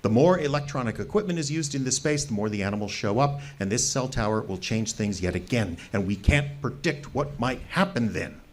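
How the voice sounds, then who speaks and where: An actor playing a fanatical, earnest fringe researcher who lives wild in the woods, stammering and pleading in an audio drama. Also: male voice, mid-range voice, animated, fairly quick, hardly any pauses, lightly acted. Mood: flustered, pleading.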